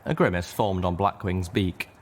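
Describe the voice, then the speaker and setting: A man's voice: sits low, moderate pace. A man reading a story aloud in dramatic first-person narration. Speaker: reader of fan fiction.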